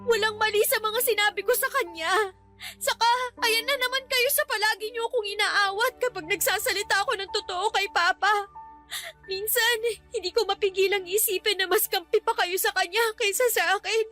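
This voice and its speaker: A woman's voice: very high-pitched, brisk, bright, forceful tone. A Filipino woman playing an outspoken, fed-up young adult daughter in a radio drama, indignant and reproachful. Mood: bitter. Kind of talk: Tagalog radio drama acting. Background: background music.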